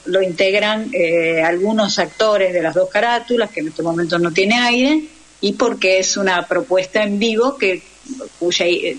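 A woman's voice: lowish voice, poor audio. A woman with an Argentine accent talking in a radio interview as a guest who teaches radio drama. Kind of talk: interview conversation.

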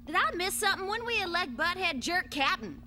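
A woman voicing a cocky, foul-mouthed young space cadet in an animated sci-fi dub, cheeky and blunt. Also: very high voice, fairly quick, in a large hall, a little hiss or hum, exaggerated voice. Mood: scornful.

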